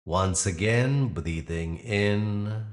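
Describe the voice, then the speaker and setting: A man guiding a yoga nidra meditation in a soothing, instructional manner with pauses between phrases. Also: fairly low pitch. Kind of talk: guided meditation narration.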